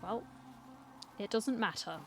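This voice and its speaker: A woman's voice: fairly high pitch, takes it slowly. A woman, chatting casually as she plays and reacts to a video game. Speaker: gaming streamer. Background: game music.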